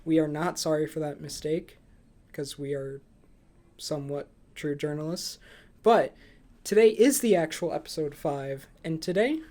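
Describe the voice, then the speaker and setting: A man's voice: a little high, unhurried, dark tone. Young man hosting a casual podcast. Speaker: podcast host. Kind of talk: casual movie review podcast chat.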